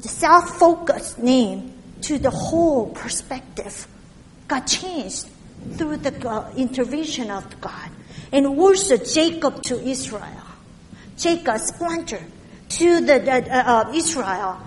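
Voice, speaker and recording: High-voiced Korean-accented woman preaching. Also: in a large hall, slight background noise, low-quality recording. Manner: halting, with frequent fillers and restarts. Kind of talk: sermon.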